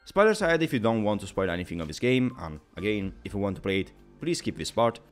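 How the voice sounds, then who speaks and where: A man's voice: fairly low pitch, big pitch swings, fast talker, faint music behind. A man narrating a scripted video game review in an emphatic, opinionated way.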